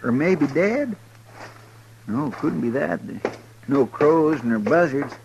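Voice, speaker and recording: A man's voice: a little high, lively intonation, takes it slowly, creaky, muffled recording, exaggerated voice. A man playing a folksy, deferential deputy sidekick with a rural Western American drawl, hesitant and puzzled, in an old radio western. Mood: uneasy. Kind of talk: 1950s radio western acting. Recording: noisy vintage radio recording.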